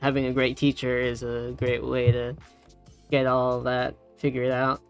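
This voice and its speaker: A woman's voice: very deep voice, little pitch movement, measured pace. A woman talks casually as a tutorial co-host who is still learning. Her delivery is easygoing and a little hesitant, and faint music plays behind her. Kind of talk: casual chat, tutorial recap.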